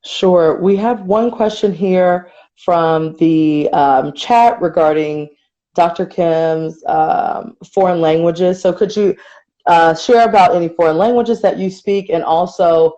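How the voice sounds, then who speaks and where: A woman's voice: fairly low pitch, takes it slowly, dark tone, lo-fi sound. A woman hosting an online interview over a video call, polite and hedging with "you know" and "um". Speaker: host.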